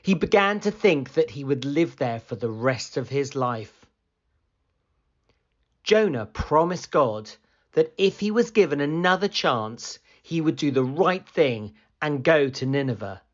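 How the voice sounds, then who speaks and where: Storybook reader, male, slow, animated read-aloud delivery, muffled lo-fi recording. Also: on the high side. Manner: slow, expressive storytelling, rising for excitement. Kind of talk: children's picture book read-aloud.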